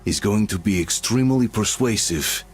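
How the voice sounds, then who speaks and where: An actor playing a weary, doubtful soldier, giving measured first-person narration in a video game. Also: male voice, lowish voice, husky. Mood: pensive, wry. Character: weary reflective soldier narrating his journey, quietly doubtful.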